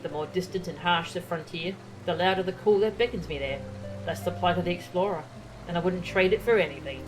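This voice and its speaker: Woman reading as an eloquent low-voiced fantasy explorer. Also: lightly acted, low-quality recording. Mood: wistful, proud. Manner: formal, flowery, measured. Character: earnest, eloquent explorer welcoming a fellow adventurer. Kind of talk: fantasy game dialogue read aloud. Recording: game music behind the voice.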